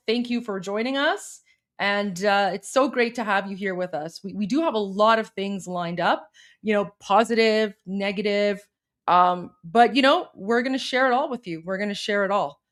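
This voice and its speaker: A woman co-hosting a live stream in casual chat, chatty and upbeat, her voice going hoarse. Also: medium pitch, moderate pace, fairly bright tone. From Iran. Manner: chatty and upbeat, addresses viewers directly.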